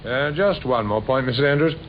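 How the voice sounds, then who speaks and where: An actor playing a courteous, weary police inspector in an old radio crime drama, speaking in a halting, probing way. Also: male voice, mid-range voice, natural voice. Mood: polite, probing. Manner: hesitant, polite, halting with many uhs. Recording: dull, noisy old radio recording.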